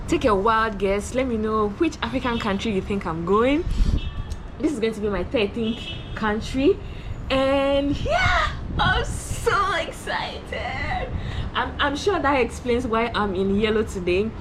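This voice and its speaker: A woman around thirty, talking excitedly to camera in a casual vlog, bubbly and repeating herself. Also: a little high, noisy background. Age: 30s. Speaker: travel vlogger. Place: at work on a rainy morning.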